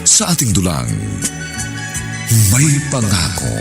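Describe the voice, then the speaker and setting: A male announcer introducing a radio drama in a drawn-out, booming way. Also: wildly swooping pitch, exaggerated voice. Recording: announcer over loud music.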